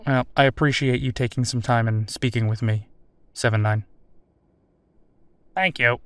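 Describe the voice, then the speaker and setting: Audio drama casting: male actor as military officer interviewer, casual, wry, mid-pitched, recorded close and dry. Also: steady tone, normal speed, dry recording, natural voice. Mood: casual, curious.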